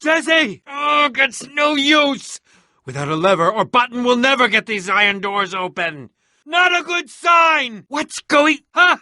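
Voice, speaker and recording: An eccentric middle-aged male adventurer for a video game. His voice is high and sharp, swinging widely in pitch. He sounds theatrical and pompous, with a dry, fussy, inquisitive streak. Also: big pitch swings, a little slow, full character voice. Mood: frantic, shouting. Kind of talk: animated video game voice acting.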